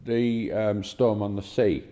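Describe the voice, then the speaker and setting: Very deep, mellow man in reverberant room. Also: little pitch movement, soft background music. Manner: halting, tentative musing. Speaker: podcast co-host. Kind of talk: scripture reading and informal discussion. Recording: reverberant room with long echo.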